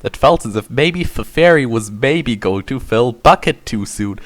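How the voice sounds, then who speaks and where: A man's voice: mid-range voice, lively intonation, measured pace. A man narrating an amateur dramatic reading of fan fiction, delivering overwrought prose in a mock-serious way.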